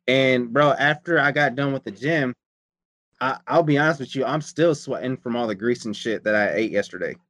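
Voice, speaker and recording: A man's voice: medium pitch, normal speed, fairly bright tone, no room sound. A man chatting casually about his diet and workouts, rambling and profane, often repeating himself. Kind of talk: casual chat.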